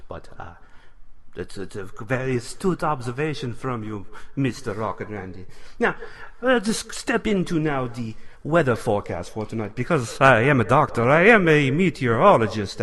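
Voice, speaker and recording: A man's voice: medium pitch, fairly bright tone. A man voicing a bumbling male weatherman doctor with a put-on German-sounding accent, stammering and overly formal. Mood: flustered. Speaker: comic weatherman.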